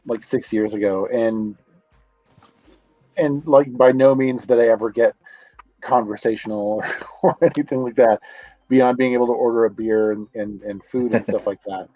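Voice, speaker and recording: A man's voice: medium pitch, steady tone, normal speed, mellow, dry recording, lo-fi sound. A man in his office on a college campus, chatting casually over a video call and rambling with many restarts. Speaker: guest, comics creator. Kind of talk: casual chat.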